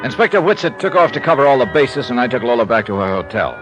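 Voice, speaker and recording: An actor playing a tough, wry American insurance investigator in 1950s hardboiled radio drama, punchy and colloquial. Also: male voice, medium pitch, big pitch swings, quick pace, lo-fi sound, loud music behind the voice, natural voice. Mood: narrating. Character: tough, wry American insurance investigator who narrates his own cases. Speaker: lead character and narrator. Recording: noisy old radio broadcast recording.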